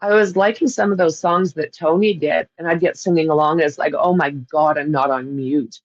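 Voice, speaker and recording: A woman's voice: low-pitched, steady tone, fairly quick, no echo, lo-fi sound. A woman with a Canadian accent hosting a livestream, chatting casually with viewers in a rambling way and laughing often. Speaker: livestream host. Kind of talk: casual chat with live viewers.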